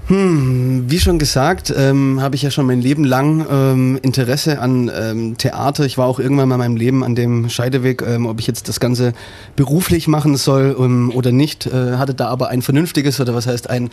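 Husky, hesitant man on radio. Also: mid-range voice, darkish tone. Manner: hesitant, many fillers. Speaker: improv actor and co-host. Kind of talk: radio interview. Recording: radio studio.

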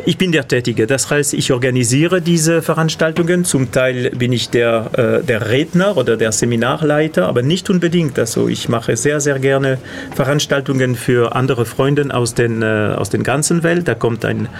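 A middle-aged man from Catalonia speaks with a Spanish accent shaped by years in France. He pauses often with fillers and searches for words, at an average pitch. A faint hiss sits behind him. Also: vocal fry. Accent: Spanish, with French influence. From Catalonia, Spain. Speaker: guest, association organizer. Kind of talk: interview answers.

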